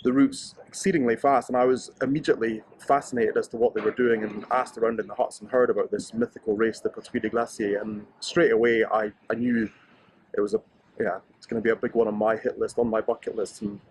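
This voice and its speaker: A man's voice: fairly low pitch, flat delivery, fairly quick, breathy edge, no echo, low-quality recording. Man, Scottish accent, thirties, casual interview answers, enthusiastic, restarts his sentences. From Scotland. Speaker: interviewee, ski racer.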